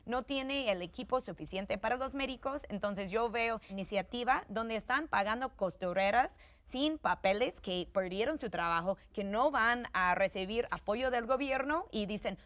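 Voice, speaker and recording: A woman's voice: a little high, moderate pace, hardly any pauses, a bit bright. A woman from New York, a journalist guest speaking in a panel discussion, thoughtful and at times hesitant. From New York, United States.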